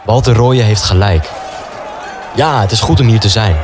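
A man plays a stubborn young student idealist in a wartime radio drama. His voice is on the low side, earnest and self-justifying. Clear noise runs behind him. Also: moderate pace, lightly acted. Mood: self-convinced. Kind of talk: radio play dialogue and inner monologue.

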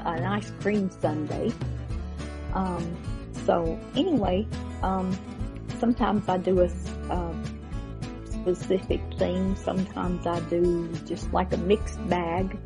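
Lady podcast host, rambly and slow, creaky voice, guitar music behind her. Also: fairly low pitch. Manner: halting, rambling. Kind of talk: casual chatty show introduction.

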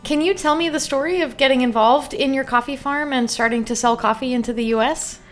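A woman from the United States hosting a podcast, reading scripted narration in a clear, upbeat way. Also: high-pitched, brisk, soft background music. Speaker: podcast host.

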